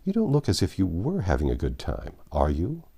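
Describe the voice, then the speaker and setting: Man reading as a protective, earnest young gentleman. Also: sits low, wildly swooping pitch, fairly quick, creaky, husky, full character voice. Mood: doubtful.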